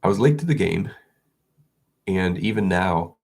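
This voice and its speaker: A very low-voiced man with a gravelly, husky tone talks casually to his audience. He is congested and sniffling from an illness, and he rambles along with frequent restarts. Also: animated, measured pace. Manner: rambling, restarts, soft chuckles. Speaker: video creator. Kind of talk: casual vlog chat.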